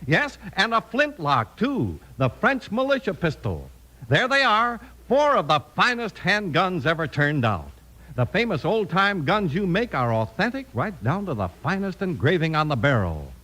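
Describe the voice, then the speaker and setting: A man's voice: high-pitched, sing-song, moderate pace, bright, forceful tone. A man announcing in the emphatic, scripted style of a 1950s radio show. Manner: emphatic salesman-like delivery. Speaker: announcer and narrator.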